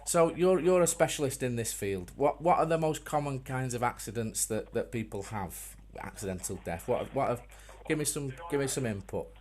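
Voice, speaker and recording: A man making a prank call as a customer, speaking in a deadpan, mock-earnest way with hesitant, repeated phrases. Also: mid-range voice, moderate pace, hardly any pauses. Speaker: prank caller.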